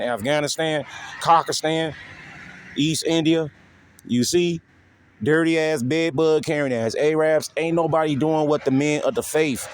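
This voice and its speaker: A man's voice: a little high, a little hiss or hum. A man preaching in a profane, taunting rant, repeating a rhetorical question again and again. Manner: repetitive and taunting, keeps asking "you see?". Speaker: street preacher.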